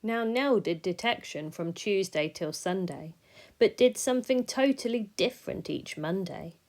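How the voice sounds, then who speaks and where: A woman reading a rhyming children's story aloud in an expressive, measured way, sometimes dropping soft. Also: mid-range voice. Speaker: storybook reader.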